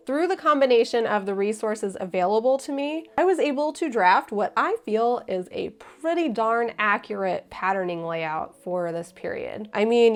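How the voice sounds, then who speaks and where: A young woman with an American accent, giving a scripted, earnest explanation of a historical sewing project. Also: medium pitch. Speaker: costume maker.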